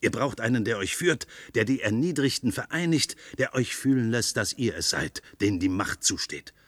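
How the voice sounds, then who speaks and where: An actor in a radio play playing a small, pompous, cowardly would-be dictator who commands and barks slogans. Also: male voice, mid-range voice, animated, normal speed, a bit bright. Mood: rousing, conspiratorial.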